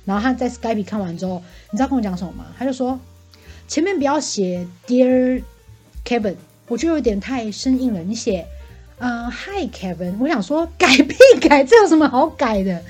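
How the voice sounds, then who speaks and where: A woman's voice: fairly high pitch, lively intonation, moderate pace, darkish tone, husky, soft background music. A woman with a Taiwanese Mandarin accent telling office anecdotes in casual, chatty, exasperated conversation. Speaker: podcast co-host venting about office life.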